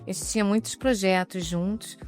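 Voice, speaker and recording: A woman in her fifties from Rio de Janeiro with a softened carioca accent, speaking as a guest on an executive panel in a measured, explanatory way with light humor. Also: medium pitch, steady tone, soft background music. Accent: Carioca Portuguese, softened. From Rio de Janeiro, Brazil. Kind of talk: panel discussion.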